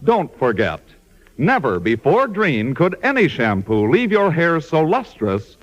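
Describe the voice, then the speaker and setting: An American male radio announcer from the 1940s delivers a polished commercial. His voice is highish and swings with lively emphasis. The recording is dull and lo-fi. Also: unhurried. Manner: polished sales pitch.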